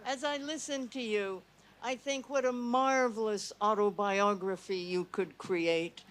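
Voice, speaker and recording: A woman in the audience of a live festival talk, asking a question in a gentle, earnest, complimentary way. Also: medium pitch, steady tone, a little slow.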